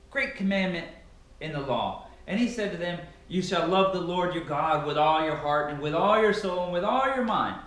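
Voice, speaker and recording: A man reads scripture aloud in a very echoey, reverberant room. His voice sits fairly high and swings widely in pitch, with a solemn, declamatory delivery. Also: a bit bright. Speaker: amateur Bible reader. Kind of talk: dramatic scripture reading.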